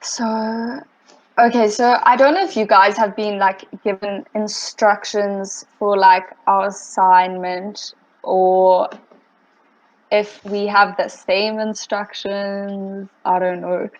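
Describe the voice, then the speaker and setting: A woman's voice: mid-range voice, flat delivery, slow pace. A young woman with a slight British accent, explaining a group assignment in a rambling way with lots of "like" and "um" on a video call. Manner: rambling, many fillers like "like" and "um". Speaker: student in project group. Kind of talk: casual video call meeting. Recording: muffled video call audio.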